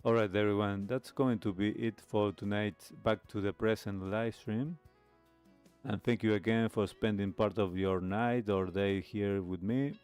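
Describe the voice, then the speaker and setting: A man hosting a live stream radio show, speaking reflectively in a measured, halting way with pauses. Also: lowish voice, fairly even intonation, darkish tone, clean tone. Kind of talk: reflective host talk, signing off a live stream. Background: music playing under the voice.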